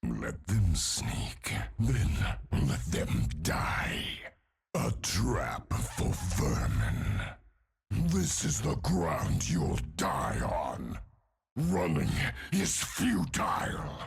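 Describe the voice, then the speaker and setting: A hulking undead warrior from a video game, savage and bloodthirsty. The voice is extremely rough and gravelly, lurching from guttural growls to high roars. Every phrase comes slowly and with menace. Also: male voice, huge pitch swings, full character voice. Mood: grim menace. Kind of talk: video game character voice lines.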